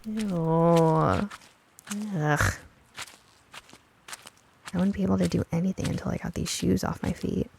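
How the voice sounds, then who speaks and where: A woman's voice: lowish voice, fairly even intonation, unhurried, vocal fry, long pauses, darkish tone, a little hiss or hum. A woman narrating a scripted first-person story in the style of a nostalgic radio drama. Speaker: narrator, writer and producer of the show.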